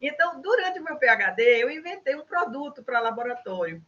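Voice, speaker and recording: A woman's voice: high voice, sing-song, fairly quick, pushed and bright, lo-fi sound. An older woman with a Recife Brazilian Portuguese accent, answering interview questions in a chatty way with frequent tag questions and restarts. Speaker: interview guest, innovation specialist.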